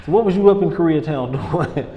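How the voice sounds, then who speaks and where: A man hosting a casual podcast interview, hyped and slangy, calling out to his listeners and backing his guest with steady mm-hmms. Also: on the high side, a little fast, dull audio. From Los Angeles. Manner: hyped, slangy, lots of listener call-outs and backchannel mm-hmms. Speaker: podcast host. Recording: long room reverb, faint background noise.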